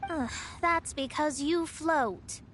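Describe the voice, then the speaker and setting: A woman's voice: high voice, slow, background noise. A woman voicing a proud young female astrologist in a video game, with pompous, formal speech. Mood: weary, irritated. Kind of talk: anime-style video game voice acting.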